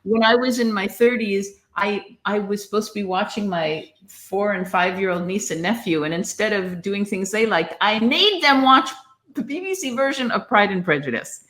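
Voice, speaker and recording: A middle-aged woman with a mid-pitched voice talks casually about literature as a podcast co-host. She is emphatic and opinionated, and readily drifts into tangents. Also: expressive, moderate pace, fairly bright tone.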